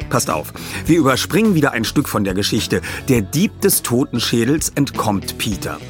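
A man's voice: mid-range voice, sing-song, normal speed, soft background music. A man presenting and narrating in a scripted style as a podcast host and reader.

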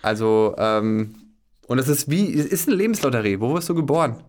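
Podcast host, German man, medium pitch, loose joking delivery full of ums and restarts. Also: expressive, brisk, darkish tone. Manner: rambling, joking, with many fillers and restarts. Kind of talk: casual chat.

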